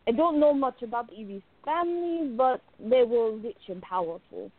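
Woman acting a higher-voiced, besotted young diarist, phone-like sound. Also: moderate pace, dry recording. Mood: tender, uneasy. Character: jealous, besotted young woman confiding in her diary. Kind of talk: dramatic first-person diary narration in a radio play.

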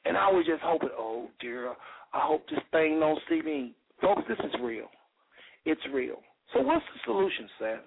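A man with a slightly raspy voice hosting a radio show, preaching fervently with rhetorical repetition and reading scripture aloud. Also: a little high. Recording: phone-like radio call-in sound.

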